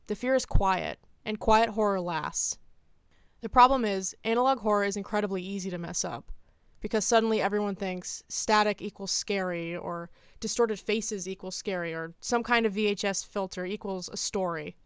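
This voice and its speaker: A woman video essayist with a clean, smooth voice and a very dry recording free of room sound. She reads scripted opinion commentary in a deadpan way, leaning firmly on her key phrases. Her pitch sits around the middle for a woman. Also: moderate pace, no echo.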